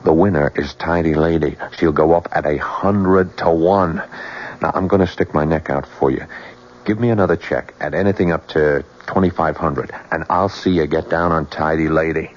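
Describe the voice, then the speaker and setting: An actor playing a slick, crooked bookie in a 1970s radio drama, breezy, slangy and smooth-talking. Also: male voice, extremely low pitch, fairly even intonation, normal speed. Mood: confiding, pushy. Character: slick, crooked bookie, a smug male con artist. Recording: muffled old radio recording.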